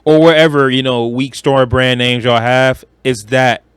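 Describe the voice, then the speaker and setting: A man's voice: medium pitch, steady tone, a little slow, a bit bright, clean tone, no echo. A man from Detroit with an American accent, chatting casually and slangily about movies as a podcast co-host, in a joking storyteller's manner. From Detroit, United States.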